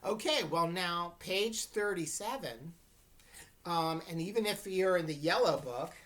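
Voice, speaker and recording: A woman teacher giving an informal read-aloud lesson, explaining things in an unhurried way and checking that listeners follow. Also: fairly low pitch, expressive. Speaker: English teacher reading aloud to students.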